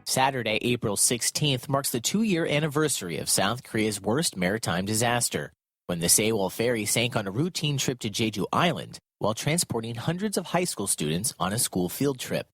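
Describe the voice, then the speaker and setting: A man reporting and narrating a scripted radio news piece in a measured, formal read. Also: medium pitch, very animated, fairly bright tone, husky, no room sound, studio quality.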